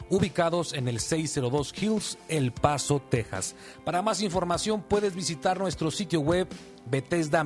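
Inviting male church-radio announcer. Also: a little high. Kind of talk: scripted church promo.

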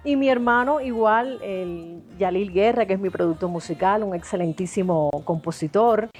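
A woman with a Cuban accent, a singer talking as a guest in an interview, warm and effusive, laughing often. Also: medium pitch, normal speed. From Cuba. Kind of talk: interview chat.

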